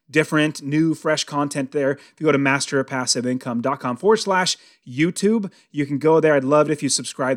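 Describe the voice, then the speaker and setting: An American man in his early forties hosting a motivational podcast monologue, conversational and emphatic, repeating phrases for stress. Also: medium pitch. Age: early 40s. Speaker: podcast host and real estate investing coach.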